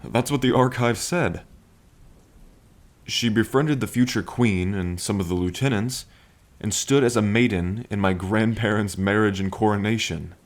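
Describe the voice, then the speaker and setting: A man's voice: lowish voice, unhurried. A man playing a playful, lovestruck dragon king in an intimate ASMR roleplay, with a languid, teasing and tender manner. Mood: earnest, reflective. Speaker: roleplay voice performer. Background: rain.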